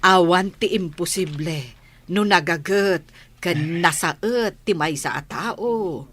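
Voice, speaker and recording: A woman's voice: lowish voice, takes it slowly, a bit bright, slightly rough, full character voice. An actress with an Ilocano accent playing a proud, tender village mother in a radio drama. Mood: proud, moved.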